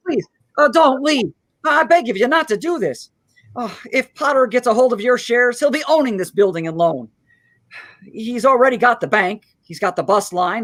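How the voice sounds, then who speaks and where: A man playing an earnest, kind-hearted small-town loan manager in a live radio play, stammering and halting as he pleads. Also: very high-pitched, fairly bright tone, exaggerated voice. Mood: desperate, pleading.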